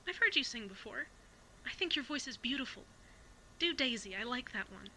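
A woman voicing a young intern who coaxes a robot in a soft, gently encouraging voice. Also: a little high, expressive, normal speed, a little hiss or hum, heavily acted, rough recording. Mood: coaxing.

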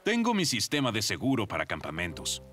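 A man voicing a goofy, excitable, proud dad in a children's cartoon. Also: fairly low pitch, a little fast, loud music behind the voice. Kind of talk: animated children's cartoon dialogue.

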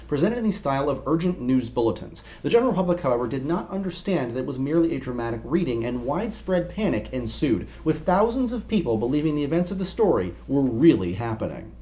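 A young man presents a scripted explainer. His mid-range voice swings through lively, varied intonation as he narrates. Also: mid-range voice, fast talker, hardly any pauses. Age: young adult. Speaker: co-host.

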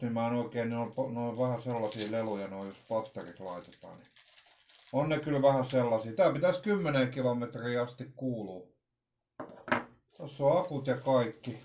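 A man's voice: mid-range voice, a little mellow, slight background noise. Hesitant middle-aged man in an echoey room. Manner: hesitant, rambling, repeats words. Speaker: vlogger presenting an unboxing. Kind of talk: casual unscripted product unboxing talk. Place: small room at home, indoors. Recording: roomy, echoey home recording.

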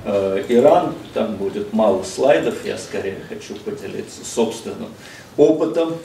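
Low-voiced man lecturing in an echoey room. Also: animated, gravelly, mellow. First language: Russian. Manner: measured, frequent "э" hesitations, mixes in English phrases. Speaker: visiting lecturer. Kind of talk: seminar lecture. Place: seminar room, seated at table before a projected slide. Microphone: distant room mic, speaker seated across the room. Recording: echoey room, speaker far from mic, faint hiss.

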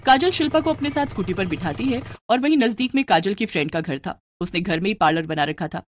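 A woman's voice: medium pitch, lively intonation, quick pace, slight background noise. A woman reading scripted story narration as a storyteller.

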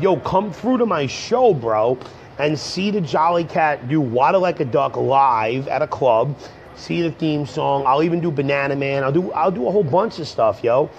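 A young man, hoarse from a cold, rambling casually to viewers on a livestream from a coffee shop. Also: a little high, slight background noise. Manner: rambling, slangy, talks straight to viewers. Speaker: comedian livestreaming. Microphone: earbud headset mic on a phone. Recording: dull lo-fi phone stream.

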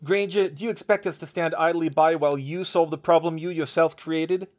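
An angry male dignitary, put on by a man reading a story, his pitch pushed high. He snaps out demands and accusations. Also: fairly even intonation, fairly quick, lightly acted. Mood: angry, demanding. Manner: snapping, accusatory.

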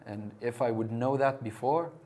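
A young man answering interview questions in a small TV studio, hesitant with restarts and pauses. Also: mid-range voice, fairly even intonation, mellow, a little hiss or hum. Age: young adult. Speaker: interview guest.

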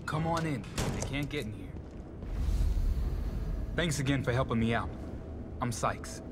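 A man's voice: mid-range voice, a little slow, loud music behind the voice, lightly acted. An actor playing a nervous male programmer and hacker in a video game, talking in a wheedling, persuasive way. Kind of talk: video game cutscene acting.

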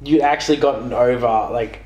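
A man's voice: mid-range voice, fairly quick, crowd noise. A young man interviewing in casual chat, throwing in teasing, sweary interjections. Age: young adult. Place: small living room, on a couch. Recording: echoey room with background noise.

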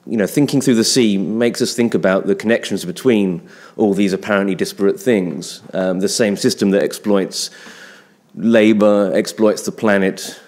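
A man's voice: lowish voice, a little mellow. A middle-aged man giving a loosely spoken academic talk at a lectern, hesitant and thinking aloud as he goes. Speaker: academic speaker responding to a paper. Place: indoor seminar room at a lectern. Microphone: gooseneck lectern microphone.

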